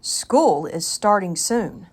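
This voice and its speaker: A woman's voice: medium pitch, expressive, takes it slowly, husky. A woman voicing a worried, firm father in a children's storybook, speaking emphatically.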